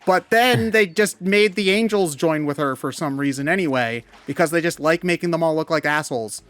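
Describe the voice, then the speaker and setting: Opinionated man, animated and ranting. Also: a little high, brisk, dry recording. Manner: animated, ranting, swears casually. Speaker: co-host of a video game commentary show. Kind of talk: casual opinionated commentary chat.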